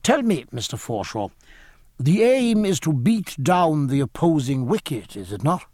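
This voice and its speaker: Man playing a mild, courteous gentleman doctor, lively inflection. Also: fairly high pitch, no room sound, studio quality. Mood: mildly puzzled. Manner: formal, measured, politely questioning. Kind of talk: audiobook character dialogue.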